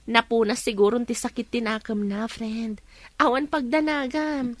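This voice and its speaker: A woman's voice: a little high, steady tone, moderate pace, no room sound, lightly acted. An actress playing an outspoken, eager young woman in a radio drama, with a Filipino Ilocano accent. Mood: matter-of-fact.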